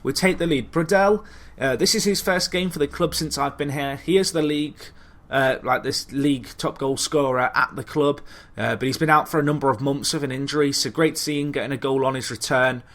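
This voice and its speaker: A man presents a football management Let's Play in a casual, conversational way. He thinks out loud, hesitating and rephrasing as he chats to his viewers. His voice sits at a medium pitch. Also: brisk. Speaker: gaming video host.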